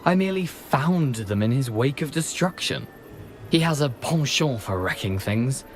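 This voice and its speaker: An actor playing a cheery, roguish decapitated ghost in a video game, with a British accent and a wry, theatrical, chatty manner. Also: male voice, mid-range voice, animated, moderate pace, faint music behind, natural voice. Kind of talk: video game character acting.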